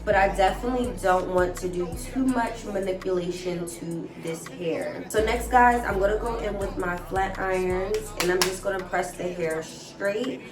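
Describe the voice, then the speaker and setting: A woman's voice: medium pitch, moderate pace, hardly any pauses. A woman chatting through a hair tutorial for her viewers, upbeat and casual, often saying "guys" and "like", her nose slightly stuffy from a cold. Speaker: hair and wig vlogger. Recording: roomy, mic at a distance, music behind. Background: background music.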